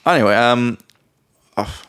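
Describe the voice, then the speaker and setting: A British man co-hosting a podcast in casual chat, a bit drunk, blunt and quick to joke and swear. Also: medium pitch, fairly bright tone, smooth. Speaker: podcast co-host.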